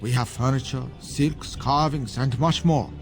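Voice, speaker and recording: A man's voice: medium pitch, measured pace, soft background music, close to an everyday voice. A man playing a suave, worldly foreign merchant with shady dealings in a fantasy video game, courtly and philosophical in manner. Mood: courtly, businesslike. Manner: courtly, smooth-talking, philosophical.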